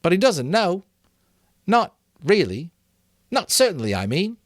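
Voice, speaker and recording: A man voicing an excitable young boy in a read-aloud story, rambling and correcting himself as he goes. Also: high voice, measured pace, no room sound, studio quality, voice pushed up, exaggerated voice. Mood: eager. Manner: rambling, hesitant, self-correcting.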